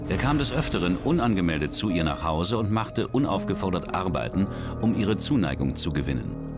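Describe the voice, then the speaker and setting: A man narrating a true crime documentary in a measured, dramatic storytelling manner. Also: deep voice, very animated. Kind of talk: scripted narration. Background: music.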